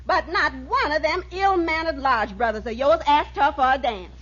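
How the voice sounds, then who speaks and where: A woman playing a scolding, suspicious wife in an old radio sitcom, indignant and sharp-tongued. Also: sits high, moderate pace. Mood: reproachful. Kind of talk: 1940s radio sitcom acting. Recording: muffled, noisy old radio, studio audience.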